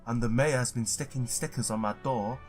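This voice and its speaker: A man's voice: mid-range voice, brisk, soft background music. A man doing a let's play, reading visual novel text aloud, stumbling now and then and blurting his own reactions.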